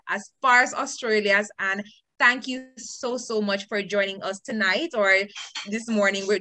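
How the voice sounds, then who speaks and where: A woman's voice: medium pitch, bright, forceful tone, no room sound. A young woman in her twenties moderating an online alumni event, upbeat and effusive. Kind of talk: event hosting on a video call. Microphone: video call.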